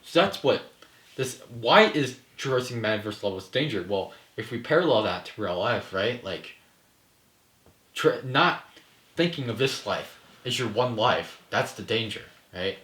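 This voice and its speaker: A man's voice: lowish voice, animated, unhurried, a bit bright. A man speaking casually as a filmmaker in a discussion, explaining his ideas and often checking in with "right?". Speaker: filmmaker answering questions. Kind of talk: casual post-table-read discussion. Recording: echoey room.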